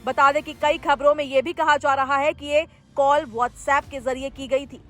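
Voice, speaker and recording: A woman with a high-pitched voice narrates a news report as a presenter. She reads from a script, and faint music plays behind her. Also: fairly even intonation, moderate pace, a bit bright. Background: background music.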